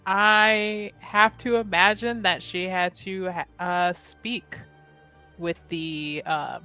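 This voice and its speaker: A woman's voice: lowish voice, fairly even intonation, takes it slowly, sharp tone, smooth, faint music behind. A woman playing a tabletop roleplaying game, casually improvising narration about her character.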